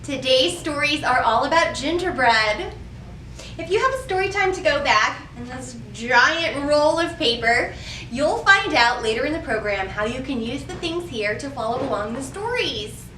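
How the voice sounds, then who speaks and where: Woman, children's storytime host, high lively voice, prompting young listeners, distant mic with faint noise. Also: normal speed, roomy sound. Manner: addresses children with questions and prompts. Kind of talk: children's storytime, reading aloud and rhymes.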